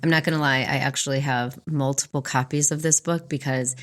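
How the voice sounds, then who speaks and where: Deep-voiced woman, enthusiastic book podcaster. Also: fairly even intonation, a little fast. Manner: enthusiastic, informal. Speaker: podcast co-host discussing books. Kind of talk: casual book review chat.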